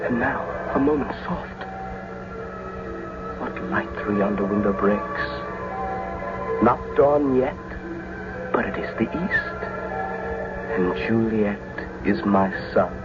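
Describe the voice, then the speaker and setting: Young nobleman in love, low poetic voice, old muffled radio play w music behind. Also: slow, pauses often. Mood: adoring. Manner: romantic, declamatory, poetic. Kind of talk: classical stage-style radio drama acting.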